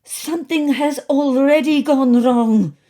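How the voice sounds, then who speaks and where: A woman's voice: high-pitched, takes it slowly, dark tone, voice pushed up. A woman voicing a bossy, zealous young female cat activist in a storybook, proclaiming dramatically. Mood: urgent.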